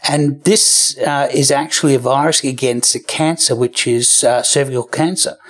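A man co-hosting a podcast, talking in a hesitant way with many pauses and restarts. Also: medium pitch, fairly even intonation. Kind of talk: casual podcast chat.

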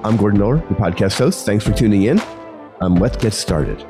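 A man hosting his own podcast alone, talking through a topic in a relaxed conversational way with many ums and restarts, his voice gravelly from a cold. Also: lowish voice, a little fast, over music. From United States. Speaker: podcast host. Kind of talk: solo podcast monologue.

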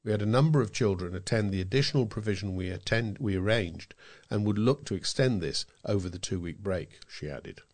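Male, lower-voiced volunteer newsreader; measured delivery of scripted local news. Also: a little mellow.

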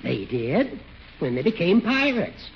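An actor playing a dry, needling old judge in a 1940s radio comedy. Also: male voice, on the high side, very animated, unhurried. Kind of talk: 1940s radio sitcom acting. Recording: dull, noisy 1940s radio recording.

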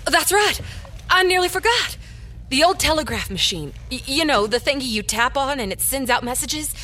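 A woman's voice: high-pitched, animated, moderate pace, bright, forceful tone, slight background noise. A woman playing a caring, practical small-town librarian in an audio drama, helpful and coaxing. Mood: concerned, eager. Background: music under the dialogue.

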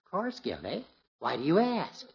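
An actor playing a fussy, excitable older judge in 1950s radio comedy, prim and formal, with a husky voice. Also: male voice, fairly high pitch, normal speed, full character voice. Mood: puzzled. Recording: muffled vintage 1950s radio recording.

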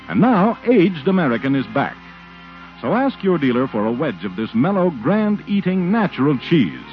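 A male 1940s commercial announcer with a highish, extremely animated, swooping pitch. He reads his sales copy on a dull recording with faint music. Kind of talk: 1940s radio advertisement read.

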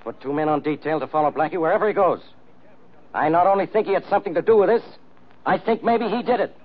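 An actor playing a brusque, bossy, impatient police inspector in a 1940s radio drama. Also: male voice, high voice, quick pace, close to an everyday voice. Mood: grumbling, suspicious. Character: gruff, bossy, exasperated male police inspector. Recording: dull old radio recording.

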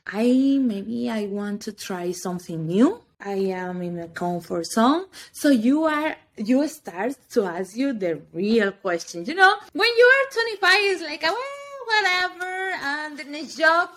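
A woman's voice: fairly high pitch, lively intonation, unhurried, hardly any pauses, fairly bright tone. A woman around thirty, a native Spanish speaker, chatting casually on a podcast in non-native English and laughing often. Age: 30s. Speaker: podcast co-host. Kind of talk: casual chat.

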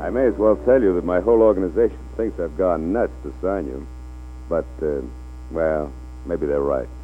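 An actor playing a blunt, shrewd studio boss, gruff, musing and decisive, in 1940s radio style. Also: male voice, low-pitched, normal speed, music in the background, lightly acted. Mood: blunt, candid. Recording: humming, narrow-band 1940s radio recording.

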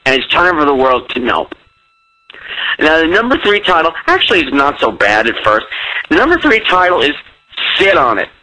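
A man's voice: a little high, very animated, moderate pace, pushed and bright, low-quality recording. A drunk man rambling in a campy, comic way as he leaves a casual voicemail over the phone. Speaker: listener phoning in a voicemail.

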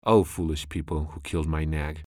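A man's voice: very deep voice, fairly even intonation, a little fast, a little mellow, dry recording, studio quality. A man voicing a hissing, menacing mother cobra. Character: vengeful female cobra, cold and menacing.

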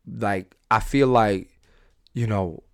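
A male podcast host from Philadelphia talks in a middle-pitched voice, fast and animated, tripping over restarts as he builds his point. His speech is loose and full of swearing. The sound is dry and close, with no room echo. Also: creaky. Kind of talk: casual podcast chat.

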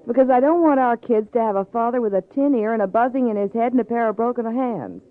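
An actress playing a cool, protective young fiancée in 1950s radio crime drama, brisk, direct and no-nonsense. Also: female voice, on the high side, no room sound, natural voice. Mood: firm, protective. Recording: muffled vintage radio recording, faint music.

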